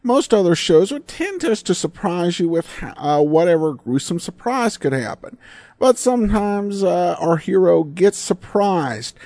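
Man, podcast host, fairly high voice, lively intonation, commentary style, close dry sound. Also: a little slow, no room sound. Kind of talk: podcast commentary and sponsor read.

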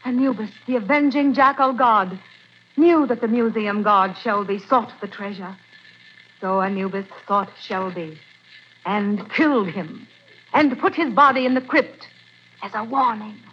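A woman's voice: medium pitch, a little slow, natural voice. An actress playing a mysterious Egyptian woman librarian in a 1940s radio drama, speaking in a solemn, ominous way. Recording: muffled old radio broadcast, faint hiss.

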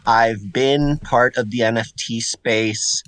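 A man's voice: medium pitch, fairly even intonation, takes it slowly, a bit bright. A man from Puerto Rico whose first language is Spanish, a guest in a casual podcast interview, talking in a rambling way with restarts and repeated words. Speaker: guest artist.

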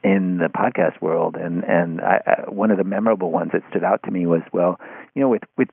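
Guy being interviewed over a phoney narrow line, husky, halting, analytical. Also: deep voice, no echo. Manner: halting, circling back over phrases. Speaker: interview guest. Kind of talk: analytical conversation.

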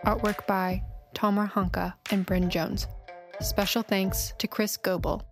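A woman's voice: medium pitch, little pitch movement, measured pace. Woman announcer reading credits over music. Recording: music bed behind.

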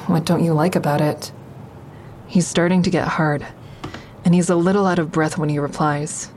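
A woman's voice: deep voice, steady tone, normal speed, darkish tone, slight background noise, close to an everyday voice. An actress playing a frank, sardonic young woman who narrates her own story in an audio drama in a confessional, wry way. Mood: intimate. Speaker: actor in a fiction podcast.

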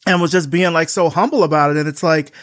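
A man's voice: fairly high pitch, fairly even intonation, fast, a bit bright, dry recording, studio quality. A man hosting a podcast, chatting loosely with lots of "like" fillers and telling stories with animation. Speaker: podcast host. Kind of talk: casual interview chat.

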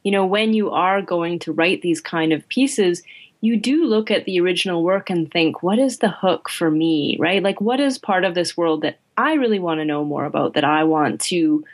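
A woman's voice: on the low side, expressive, moderate pace. A woman guest author in a podcast chat who repeats her words and keeps checking in with "right?" and "you know". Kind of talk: podcast conversation.

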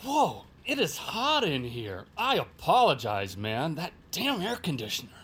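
A man's voice: fairly high pitch, huge pitch swings, measured pace, fairly bright tone, a little hiss or hum, exaggerated voice. A man playing an easygoing, stalling handyman dude who talks in slang in an audio drama. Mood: breezy excuses. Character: laid-back, stalling handyman dude named Gus. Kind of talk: audio fiction acting.

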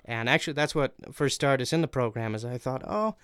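A man's voice: medium pitch, fairly quick, fairly bright tone, clear, resonant tone, no echo, studio quality. A young man, a voice actor, talking casually and earnestly in an interview, explaining how he works. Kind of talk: casual interview talk about voice acting.

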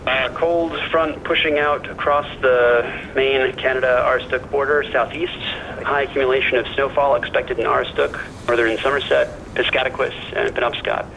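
An actor playing a cautious, dry-humored friend who worries, speaking hesitantly in a naturalistic audio drama over a radio call. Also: male voice, medium pitch, flat delivery, moderate pace, vocal fry, sharp tone, slight background noise, low-quality recording. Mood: hesitant reporting. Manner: hesitant, fretful, wry.

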